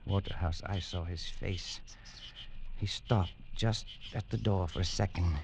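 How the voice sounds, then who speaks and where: An actor playing an arrogant, flippant English colonial adventurer in a radio drama, speaking theatrically. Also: male voice, deep voice, steady tone, measured pace, gravelly, background noise. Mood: shaken. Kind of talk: old-time radio drama acting. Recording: vintage radio recording with music.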